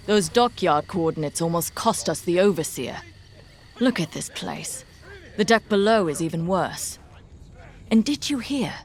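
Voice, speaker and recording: A woman's voice: lowish voice, expressive, unhurried. An actress playing a loyal, earnest woman fighter pilot in a video game, confiding and assured. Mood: frustrated. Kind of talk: scripted video game dialogue.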